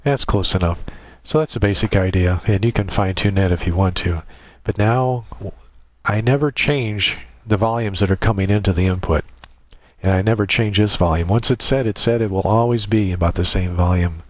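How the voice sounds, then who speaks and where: A man narrating an informal step-by-step software tutorial, methodically explaining and thinking aloud as he demonstrates. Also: fairly low pitch, moderate pace, a little hiss or hum.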